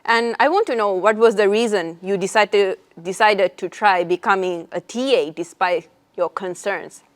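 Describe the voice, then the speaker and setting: A woman's voice: medium pitch, moderate pace. A young woman interviewing fellow teaching assistants, speaking hesitantly with many fillers and restarts. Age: young adult. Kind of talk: interview questions and own answers.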